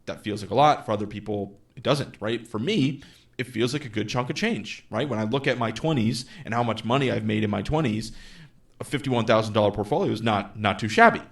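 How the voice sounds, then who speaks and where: A man in his thirties with a low voice that often drops into vocal fry. He explains his money goals in an easygoing, off-the-cuff way, restarting sentences and slipping into quoted back-and-forth with the people he advises. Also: brisk. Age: 30s. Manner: conversational, thinks aloud with fillers and restarts; acts out dialogue with clients in quotes. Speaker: investing channel host. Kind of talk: casual explainer monologue.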